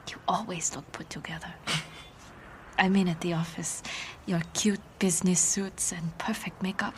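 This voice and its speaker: A woman plays a soft, seductive woman from an audio drama, talking in a breathy near-whisper. Her pitch sits in an ordinary middle range. Steady background noise runs under the scene. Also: close to an everyday voice. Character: tender, seductive woman courting a coworker.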